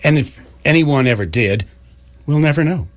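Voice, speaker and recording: A man's voice: on the high side, animated, moderate pace, close to an everyday voice. A man playing a wry storyteller who narrates a science fiction radio comedy.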